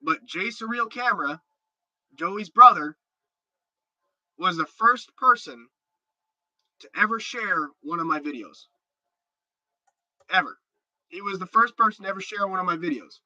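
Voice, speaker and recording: A man's voice: high-pitched, a little slow, pauses often, sharp tone, no echo, muffled recording. A stuffed-up man hosting a casual livestream, chatting loosely and answering viewer comments with many restarts. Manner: rambling and chatty, with repeated restarts. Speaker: livestream host.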